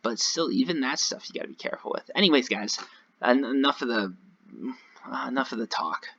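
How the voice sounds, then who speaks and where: A man with a slightly hoarse voice gives casual, rambling gameplay commentary, talking directly to his viewers in a conversational way. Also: medium pitch, animated, a little fast, a bit bright, highs cut off. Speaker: gaming video creator. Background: voice-over recorded over gameplay.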